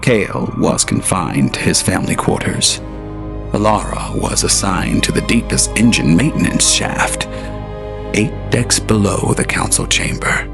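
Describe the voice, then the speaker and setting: Brief: low-voiced male narrator, slow and measured, expressive pitch, faint music underneath, audio drama. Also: creaky. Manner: measured, grave storytelling.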